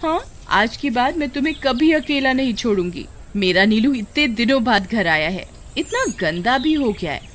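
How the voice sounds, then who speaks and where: A woman's voice: a little high, animated, normal speed, hardly any pauses, a bit bright, slight background noise. A woman voicing a doting, melodramatic village wife in a moral-story cartoon, cooing and exclaiming. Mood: tender, doting. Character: doting, dramatic village wife who loves her dog.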